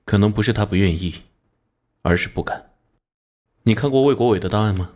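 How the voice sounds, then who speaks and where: Firm, low-pitched male police captain, voiced by an actor. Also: fairly quick, darkish tone, close to an everyday voice. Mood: explaining. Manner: matter-of-fact, firm. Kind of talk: animated crime drama dialogue.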